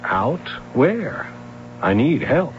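An American actor playing a skeptical, probing police sergeant in a 1970s radio drama, questioning in a measured way. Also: male voice, mid-range voice, big pitch swings. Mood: exasperated, skeptical. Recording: dull, noisy old radio broadcast recording.

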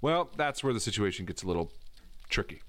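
Weary, wry male police officer played by an actor, low-pitched, grumbling, naturalistic audio drama delivery. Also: very animated, a little fast, fairly bright tone. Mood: measured, confiding.

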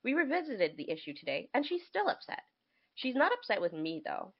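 A woman podcast co-host with very lively, swinging intonation. She chats casually and reads letters aloud expressively. The sound is a little dull and lo-fi. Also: a little high, brisk, slightly rough. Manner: expressive, reacts to what is read.

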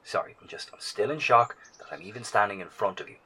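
Man acting an earnest, husky, low-voiced survivor. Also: expressive, sharp tone, exaggerated voice, rough recording. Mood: apologetic, uneasy. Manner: halting, formal wording, emphatic. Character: earnest, shaken male survivor and researcher, awed and cautious. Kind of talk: audio drama dialogue.

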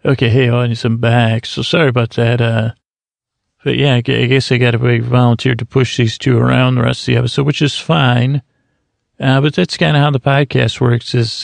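A man hosting a bedtime podcast who rambles in a meandering, halting way, stretching out some words slowly to lull listeners to sleep. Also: medium pitch, creaky, no echo. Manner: meandering, halting, drifting into slow drawn-out words. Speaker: podcast host.

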